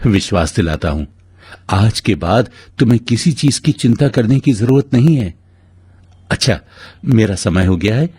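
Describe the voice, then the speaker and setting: A man voicing a kind, mysterious stranger who is earnest and reassuring, in an animated moral story. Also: lowish voice, breathy edge, dry recording, full character voice. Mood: firm, calm. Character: kind, mysterious male stranger turned devoted adoptive brother.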